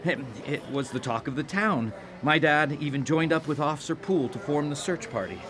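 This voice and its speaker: A man's voice: a little high, lively intonation, brisk, a bit bright, slight background noise. A man playing a naive, pious, awkward nice guy on a date, hesitant and stammering, in a live radio drama. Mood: earnest.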